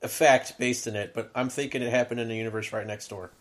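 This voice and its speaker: Male podcast host, easygoing rambling talker, filler-heavy, quick to laugh. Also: medium pitch, steady tone. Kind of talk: casual chat.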